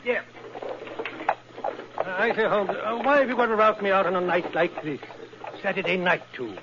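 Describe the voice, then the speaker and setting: An actor playing a stuffy, put-upon gentleman in a 1940s style radio drama, speaking hesitantly. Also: high voice, normal speed, close to an everyday voice. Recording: muffled, very hissy old radio recording.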